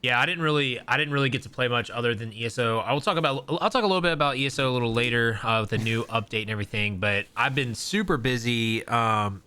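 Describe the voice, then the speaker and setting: A man's voice: medium pitch, fast, few pauses, pushed and bright, smooth. A young man co-hosting a gaming podcast, chatting casually and rambling with many fillers and restarts. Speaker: podcast co-host.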